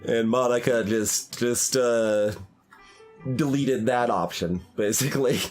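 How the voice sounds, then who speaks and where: A man's voice: medium pitch, takes it slowly, darkish tone. A man giving casual live commentary as a game streamer, rambling and thinking aloud as he goes. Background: faint game music.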